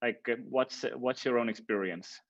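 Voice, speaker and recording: A man's voice: mid-range voice, moderate pace. Informal man moderating, slightly dull audio. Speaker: panel moderator. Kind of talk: casual questioning.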